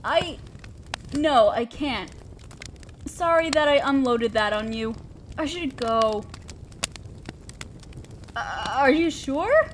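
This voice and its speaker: A woman's voice: sits high, expressive, takes it slowly, pauses often, a little hiss or hum. A woman playing a shy, awkward, kind young neighbor in an intimate roleplay audio drama, speaking hesitantly and apt to ramble self-consciously. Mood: awkward, apologetic. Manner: hesitant, soft-spoken, rambling, self-deprecating. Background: outdoor fire ambience.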